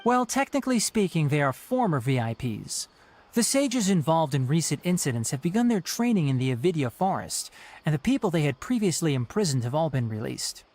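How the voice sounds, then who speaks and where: A man playing a calm, courteous young forest ranger in a video game, speaking in a measured, articulate way. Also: a little high. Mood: explanatory. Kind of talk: video game dialogue acting. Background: background music.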